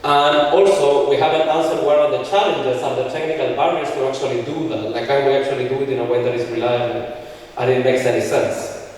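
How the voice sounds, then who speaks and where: Man from Chile at a conference lectern, mid pitch, methodical walk through his slides, mic well away in a big reverberant hall. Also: fairly even intonation, a little fast, a little mellow, a little hiss or hum. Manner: explanatory, walks through slides with frequent restarts. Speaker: conference speaker. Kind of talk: technical conference talk. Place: large lecture hall. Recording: echoey hall from far off.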